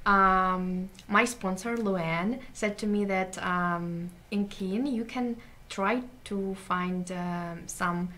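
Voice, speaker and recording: A woman's voice: mid-range voice, takes it slowly, hardly any pauses, sharp tone, a little hiss or hum. A woman from Ukraine with a Ukrainian accent, talking casually as an interview guest and searching for words in imperfect English. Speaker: interview guest, community TV show creator.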